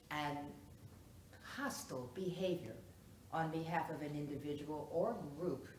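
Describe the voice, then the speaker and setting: Woman as expert-witness psychiatrist, courtroom play: low-pitched, measured, clinical; distant, noisy live-room recording. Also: darkish tone, reverberant. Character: formal female psychiatrist testifying as expert witness. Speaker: actor in a one-act play. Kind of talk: stage courtroom drama acting.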